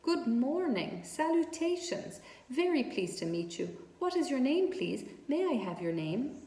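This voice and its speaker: A woman puts on an innocent little boy pig from a storybook, pitched on the high side. He is earnest and emphatic, polite and easily upset, heard in an echoing room. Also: very animated, unhurried, warm tone, exaggerated voice. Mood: eager, polite.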